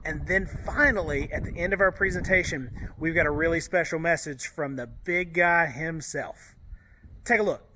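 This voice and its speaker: A man's voice: fairly high pitch, normal speed, pushed and bright, a little hiss or hum, poor audio. A man giving an upbeat, informal welcome announcement to school families as the host.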